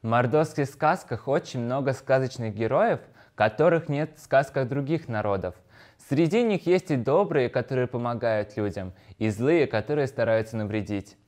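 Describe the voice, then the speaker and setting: A man's voice: medium pitch, lively intonation, moderate pace, a little mellow. A man with a mid-range voice delivers scripted educational narration. He explains things like a teacher, speaking directly to his pupils in prepared sentences. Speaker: language lesson presenter.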